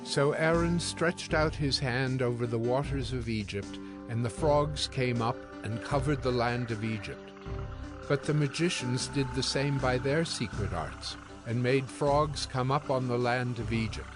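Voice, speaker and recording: A man's voice: mid-range voice, measured pace. A man narrating scripture from a script as part of a dramatized reading. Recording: music bed behind the voice. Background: music.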